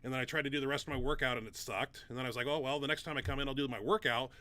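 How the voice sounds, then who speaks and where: A man's voice: medium pitch, steady tone, very fast, pushed and bright, no room sound. A middle-aged man hosting a casual Q&A chat, rambling with many fillers and restarts, his nose stuffed up. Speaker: show host and powerlifter.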